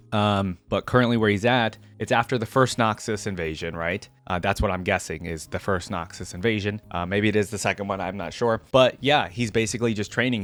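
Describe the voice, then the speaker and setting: A man's voice: lowish voice, fairly bright tone. A man hosting a reaction video, reading game lore aloud expressively and adding casual, informal commentary.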